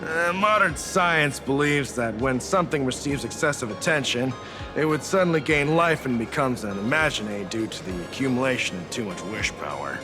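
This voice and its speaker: A pedantic, apologetic man voiced by an actor in a video game, chattily explaining. His mid-pitched voice has wide intonation, with music playing behind. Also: animated, moderate pace, lightly acted. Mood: explanatory, easygoing. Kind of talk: video game voice acting, exposition.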